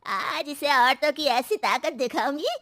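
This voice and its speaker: A woman's voice: high-pitched, a little slow, heavy background noise. A woman playing a bold, teasing elderly mother-in-law in a children's story cartoon, brash and sarcastic as she scolds. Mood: defiant, commanding.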